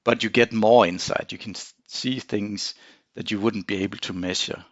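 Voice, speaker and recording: A man giving an informal technical screen demonstration, explaining in a halting way with frequent pauses. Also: lowish voice, steady tone, dry recording, muffled recording. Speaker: engineer presenting a software tutorial.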